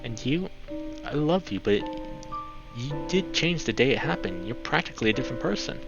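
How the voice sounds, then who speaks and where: A man's voice: medium pitch, expressive, moderate pace, vocal fry, pitched above the performer's own voice. A man voicing a hesitant, earnest young male wolf, a brotherly visual novel character weighed down by guilt. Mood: earnest, pained. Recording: slightly dull mic, music behind.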